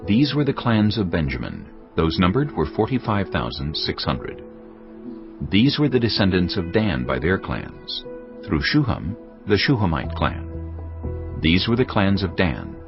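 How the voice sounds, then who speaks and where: A man narrating scripture from a script in a solemn, deliberate manner. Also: deep voice, very animated. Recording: slightly muffled, music underneath. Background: background music.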